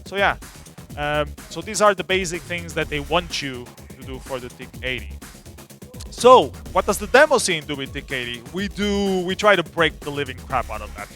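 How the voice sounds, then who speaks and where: A man's voice: fairly high pitch, lively intonation, moderate pace, bright, forceful tone, soft background music. A man presenting a casual technical seminar in a loose, filler-heavy, informal way. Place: seminar room at a demo party.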